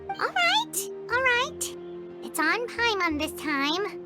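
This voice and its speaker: A woman voicing a tiny, chirpy, childlike girl companion in a video game, squeaky, perky and curious. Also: full character voice. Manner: speaks of herself in third person, perky, curious.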